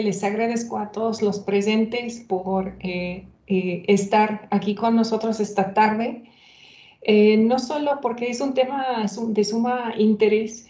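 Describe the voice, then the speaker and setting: A woman's voice: medium pitch, mellow, reverberant, highs cut off. A woman whose first language is Hungarian, giving welcome remarks at a university event in Spanish with many hesitations and self-corrections.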